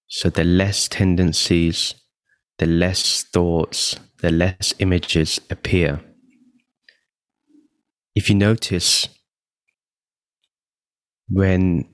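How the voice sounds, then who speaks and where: Male meditation guide, very low pitch, unhurried and calm delivery with long pauses, dry close sound. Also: no room sound.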